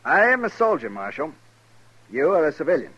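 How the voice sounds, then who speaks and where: An actor playing a rigid, middle-aged army captain in a Western radio drama, speaking in a formal, measured, unbending way. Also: male voice, fairly high pitch, sing-song, fairly bright tone, breathy edge, lo-fi sound, exaggerated voice. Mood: coolly authoritative. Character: rigid, cold army captain, thirty-year veteran.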